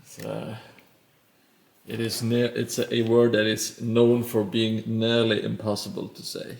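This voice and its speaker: A man's voice: on the low side, completely flat delivery, gravelly, a little mellow. A man with a Norwegian accent chatting casually on a live stream while he builds, rambling and poking fun at himself. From Norway. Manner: rambling and self-mocking, with frequent pauses.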